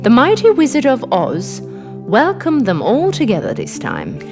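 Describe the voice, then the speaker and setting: A woman narrating a scripted children's fairy tale in an expressive, dramatic way. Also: fairly high pitch, measured pace. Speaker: storyteller, narrator. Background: music.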